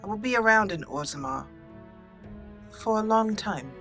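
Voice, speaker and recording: A woman hosting a let's play, telling her character's story over gameplay with drawn-out emphasis. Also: mid-range voice, expressive. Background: faint game music.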